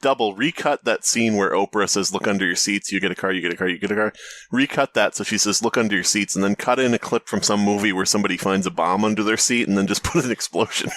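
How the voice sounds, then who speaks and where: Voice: low-pitched, fast, a bit bright, no room sound. A podcast co-host riffing sarcastically with friends, firing off wisecracks and curses.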